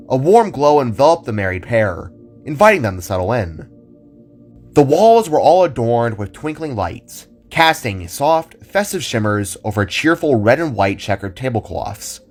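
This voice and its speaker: Animated male story narrator over music. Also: lowish voice. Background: background music.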